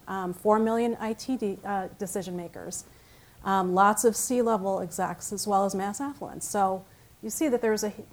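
A woman whose first language is Italian speaks to a conference audience. Her pitch sits in an ordinary middle range. She keeps it conversational, pausing on fillers and restarting her phrases as she goes. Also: a little mellow. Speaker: conference presenter. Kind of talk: conference talk.

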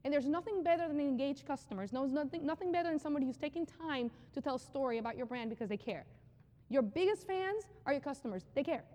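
A woman giving a live conference talk on brand storytelling, energetic and conversational, often repeating phrases for emphasis. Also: high-pitched, quick pace. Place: large hall with an audience. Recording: long hall reverb.

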